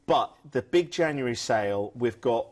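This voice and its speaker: A man around forty, talking fast and enthusiastically as he pitches cruise deals on a live shopping show. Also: expressive, darkish tone. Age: 40s. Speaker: cruise sales co-presenter.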